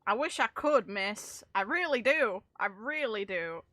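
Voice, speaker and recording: A woman's voice: medium pitch, lively intonation, measured pace, sharp tone, no room sound, studio quality. A woman giving casual, chatty reaction commentary on video game dialogue, with informal slang and mild swearing. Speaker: streamer reacting to game voice lines.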